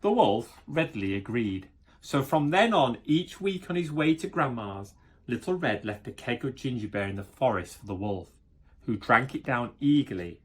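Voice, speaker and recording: A man tells a children's story with a very lively, varied pitch. His delivery is playful and dramatic, and he breaks off to speak straight to his young listeners. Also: breathy edge. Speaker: guest story reader.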